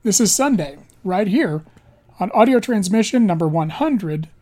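Raspy man hosting a music show. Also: high-pitched, animated, normal speed, a little mellow. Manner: rambling, self-deprecating, chatty. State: raspy from pollen. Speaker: radio show host. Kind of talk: casual music show talk.

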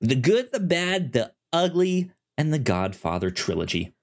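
A man co-hosting a movie podcast, talking casually with a halting, stammering delivery that often repeats words. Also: a little high, big pitch swings. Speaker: podcast co-host. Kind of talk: casual chat pitching films on a movie podcast.

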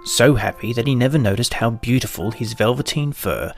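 A man's voice: medium pitch, fairly quick, gravelly, soft background music. A man reading a scripted children's story as its narrator.

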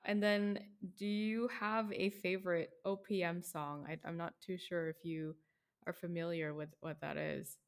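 A woman hosting a casual interview, relaxed and encouraging, asking open questions. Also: fairly low pitch, unhurried. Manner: relaxed, encouraging, many backchannels. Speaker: host.

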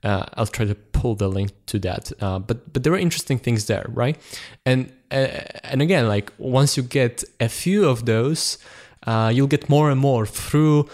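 A man's voice: medium pitch, normal speed, hardly any pauses, a little mellow. A man hosting a podcast, talking casually with many fillers and repeated words. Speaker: podcast host. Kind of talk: casual chat.

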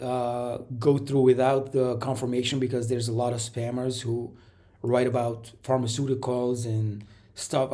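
A man with a raspy voice from a cold, coughing now and then, giving a rambling casual update to his viewers. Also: mid-range voice, dark tone. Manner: rambling, many fillers and restarts. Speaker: startup founder.

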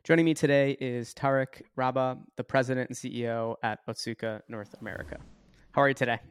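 A man's voice: mid-range voice, steady tone, fairly quick, clear, resonant tone, dry recording, studio quality. A man hosting a podcast interview, asking questions in a friendly way and stumbling over his words a little. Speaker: podcast host.

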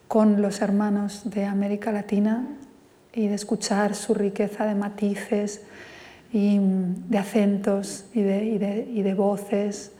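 A woman from Spain with a Spanish accent, giving a thoughtful, measured talk as a poet and lingering on her words. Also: medium pitch, dark tone. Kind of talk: reflective lecture with poetry reading. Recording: long reverb from a hall.

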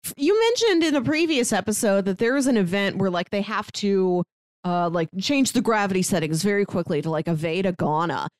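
A woman's voice: medium pitch, lively intonation, fairly quick, dry recording. A woman co-hosting a manga discussion podcast, talking casually and slipping into little mock dialogues to make her points. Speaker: podcast co-host reviewing manga.

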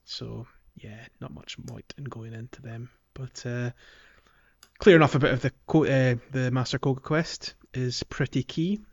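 A man co-hosting a podcast, chatting casually about a video game and filling pauses with ums. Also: medium pitch, gravelly, highs cut off. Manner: relaxed, many ums, walks through game areas. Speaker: podcast co-host.

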